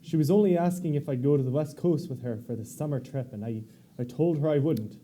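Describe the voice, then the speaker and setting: A man playing a polite but defensive gentleman who stalls and hedges. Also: a little high, fairly quick, natural voice. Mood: curt. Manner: hesitant, stalling. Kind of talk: staged reading of a short story scene.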